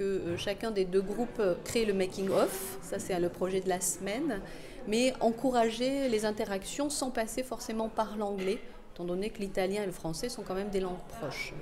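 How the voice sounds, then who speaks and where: Woman explaining hesitantly amid crowd chatter. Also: mid-range voice. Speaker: teacher.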